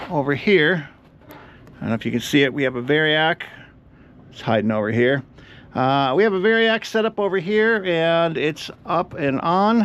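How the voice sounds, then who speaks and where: A man giving a casual hands-on repair walkthrough, explaining each step aloud as he works. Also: fairly high pitch. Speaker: host of an electronics repair channel.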